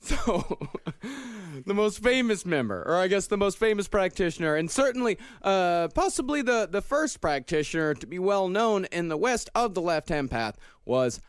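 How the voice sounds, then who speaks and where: A man's voice: high voice, moderate pace, smooth, no room sound, clean studio sound. A man hosting a comedy podcast, reading prepared notes in an explanatory way. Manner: explanatory, lively read. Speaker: podcast host.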